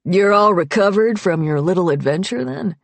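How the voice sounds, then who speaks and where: A woman's voice: lowish voice, animated, moderate pace, creaky, no echo. A woman voicing a careless, sneering young man with a mocking, almost sing-song drawl in an audiobook.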